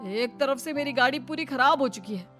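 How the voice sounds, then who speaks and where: A woman's voice: high-pitched, expressive, a little fast, a bit bright, soft background music. A woman voicing a greedy, indignant man in a cartoon moral story, complaining in an aggrieved, exclamatory way. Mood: upset. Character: greedy, whiny, indignant man of a duped family. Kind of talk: animated moral story dialogue.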